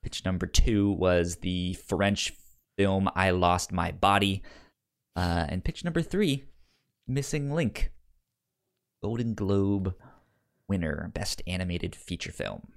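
A man's voice: sits low, takes it slowly, pauses often. A man co-hosting a casual pop culture podcast, explaining things and often repeating words as he goes. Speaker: podcast co-host.

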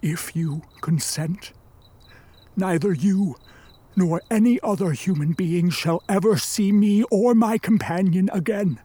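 An actor in a radio play voicing an embittered, vengeful created monster, with a husky voice and grandiose, formal delivery. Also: medium pitch, warm tone, close to an everyday voice. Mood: solemn, persuasive. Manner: grandiose, theatrical, deliberate delivery. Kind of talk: radio play acting, formal archaic speech.

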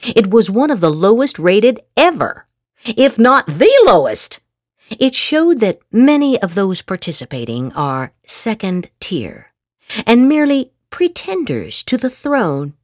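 A woman's voice: medium pitch, very animated, unhurried, no room sound, clean studio sound. A woman podcast host giving a theatrical, emphatic reading of social media posts. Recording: loud music bed.

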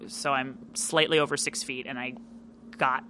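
A woman chatting casually as a podcast guest, with a loud, animated delivery full of fillers. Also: rumbling low, moderate pace, a little hiss or hum. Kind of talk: casual interview chat.

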